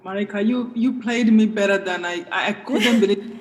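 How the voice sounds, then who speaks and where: Heartfelt Rwandan-accented woman on a video call. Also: medium pitch. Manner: halting and heartfelt, restarting phrases. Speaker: guest, the author whose story the play tells. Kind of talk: emotional talkback chat.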